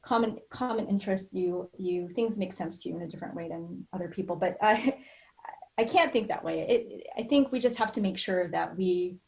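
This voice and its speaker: A woman's voice: on the low side, normal speed, creaky, darkish tone. A middle-aged American woman from rural Idaho, talking earnestly and reflectively in a personal, anecdotal way as a podcast guest. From rural Idaho, United States.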